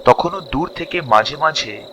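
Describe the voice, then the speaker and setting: A man with a fairly high voice tells a ghost story in a dramatic, scripted style. He takes his time, breaking sentences into short suspenseful phrases. Crickets and night ambience sound clearly behind him. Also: lively intonation, heavy background noise. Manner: suspenseful, with drawn-out pauses between short phrases.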